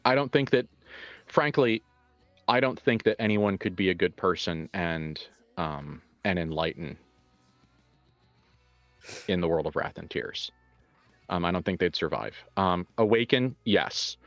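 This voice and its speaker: A man talking casually and reflectively as a game master, in halting phrases with emphatic asides. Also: sits low, a bit bright, dry recording, dull audio, faint music behind. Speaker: game master and writer of an actual-play show. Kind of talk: casual reflective discussion, answering questions.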